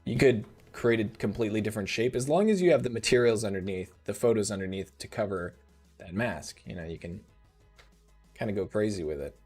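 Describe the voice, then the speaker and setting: Relaxed man demoing software live. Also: fairly low pitch, fairly quick, slightly rough, soft background music. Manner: relaxed, thinking aloud with fillers. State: slightly rough voice. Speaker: host.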